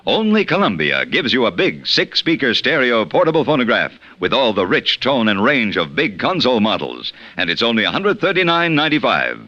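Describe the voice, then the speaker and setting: A man's voice: medium pitch, wildly swooping pitch, a little fast, hardly any pauses, sharp tone, dry recording, highs cut off. A man announcing a 1950s radio commercial with polished, emphatic salesmanship. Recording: dry, band-limited vintage radio.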